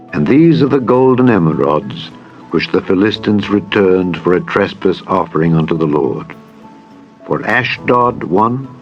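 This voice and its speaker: A man narrating scripture in a dramatized style, with a solemn, measured delivery. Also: fairly low pitch, highs cut off. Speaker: Bible narrator. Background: music.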